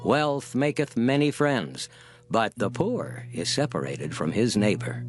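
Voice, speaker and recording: A man declaims scripture in a solemn, dramatic style. His mid-pitched voice moves expressively up and down, with soft music faintly underneath. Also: very animated, measured pace. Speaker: scripture reader. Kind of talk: dramatized Bible reading. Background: soft instrumental music.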